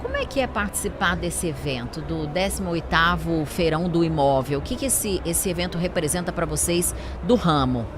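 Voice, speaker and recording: A woman's voice: lowish voice, animated, moderate pace, few pauses. A woman with a Brazilian accent hosting a conversational podcast interview. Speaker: podcast host. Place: busy trade fair stand. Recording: clear urban ambient noise at trade fair.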